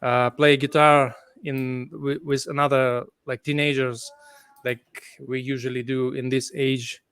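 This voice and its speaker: Ukrainian guy on a video call late at night, sleepy, slow, lots of uhs and likes, smooth voice. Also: mid-range voice, flat delivery, fairly bright tone, dry recording. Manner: halting non-native English with many fillers. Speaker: guest guitarist. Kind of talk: casual interview chat.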